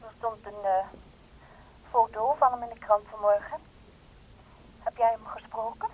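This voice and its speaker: An actress playing a wistful woman in a Dutch radio play, speaking in a tentative, halting manner. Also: fairly high pitch, fairly even intonation, husky, low-quality recording. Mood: hesitant, probing. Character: wistful, hesitant woman probing about a man.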